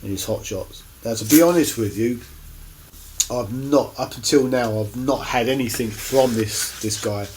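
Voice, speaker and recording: A man giving a casual, unscripted show-and-tell to camera as a record collector, in a halting, rambling way with many pauses. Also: mid-range voice, darkish tone, slight background noise, low-quality recording. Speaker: record collector presenting finds on his channel.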